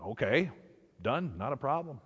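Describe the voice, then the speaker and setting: A man's voice: mid-range voice, sing-song, a little slow, a bit bright, slightly rough, long reverb tail, highs cut off, full character voice. Man voicing a breezy, friendly magical talking fish. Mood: offhand, obliging. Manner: breezy, obliging, offhand.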